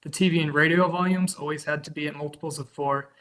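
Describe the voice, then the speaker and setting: Young guy from kansas reading his testimony over a video call, kinda high, bright voice. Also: steady tone, fast talker. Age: 20s. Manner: earnest, reads a prepared statement. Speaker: witness giving testimony. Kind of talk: prepared public testimony.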